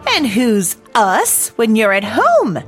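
A woman's voice: very high-pitched, wildly swooping pitch, quick pace, a bit bright, soft background music, voice pushed up, heavily acted. A woman voicing a scolding, scornful nursemaid in a storybook, brusque in manner. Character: scolding, scornful nursemaid guarding her household. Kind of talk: storybook character voice.

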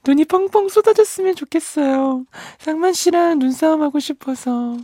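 A man doing a sweet young woman in falsetto. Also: normal speed, warm tone, no room sound, clean studio sound. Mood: tender. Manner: high falsetto reading. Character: sweet young woman writing love letters.

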